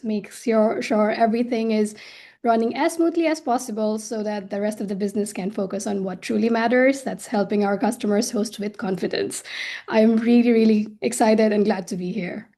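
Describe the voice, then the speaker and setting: A woman's voice: on the high side, mellow. A woman who is a financial controller, giving a friendly, upbeat self-introduction at a company meeting.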